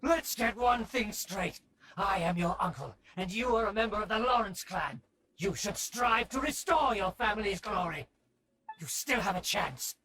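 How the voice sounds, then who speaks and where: A man's voice: sits high, expressive, moderate pace, pushed and bright, rough recording. An actor playing a haughty middle-aged nobleman in a video game, a scheming uncle with a pompous, formal, theatrical manner. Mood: stern, imperious. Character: haughty aristocratic uncle scheming to restore his clan's rule.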